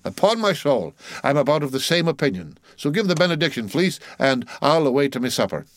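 Man playing a bossy mocking ship's officer, raised pitch. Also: big pitch swings, fairly quick, no room sound. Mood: amused. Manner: teasing, mock-solemn, commanding.

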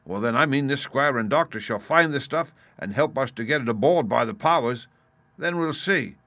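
A man voicing a scheming, swaggering pirate ringleader in a storybook, speaking forcefully in rustic seafaring dialect. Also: medium pitch, lively intonation, moderate pace, no room sound, voice pushed up. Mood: scornful, boastful. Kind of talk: dramatised storybook reading.

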